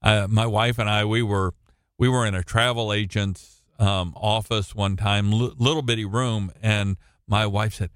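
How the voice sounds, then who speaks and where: Slow-preaching man with a booming voice. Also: fairly even intonation, fairly bright tone, clear, resonant tone, no echo, studio quality. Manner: slow, deliberate preaching with frequent ums. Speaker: pastor. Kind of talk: sermon and scripture reading. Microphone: computer microphone.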